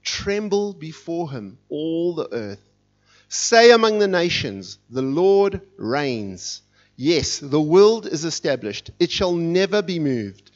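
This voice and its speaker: A middle-aged man preaching from a church stage, his voice sitting a little high for a man. He talks with a fired-up energy and leans hard into the lines he reads. The sound is dull and muffled, with little sparkle. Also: slow. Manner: energetic, fired up, reads scripture with rising intensity. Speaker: guest preacher. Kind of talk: sermon. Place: church sanctuary.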